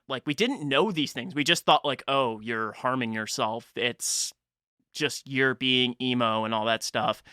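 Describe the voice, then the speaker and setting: A podcast host with a bright, forward voice at a middling pitch, recorded close and dry. The talk is casual and unscripted, with thoughts worked out aloud as they come. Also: measured pace, clean tone, dry recording. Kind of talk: casual chat.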